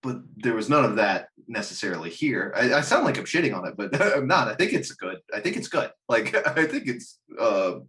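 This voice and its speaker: A man's voice: medium pitch, brisk, few pauses. A man in a casual chat, rambling and swearing freely, often laughing as he talks. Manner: animated, rambling, profane, laughs while talking. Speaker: podcast panelist discussing films and games.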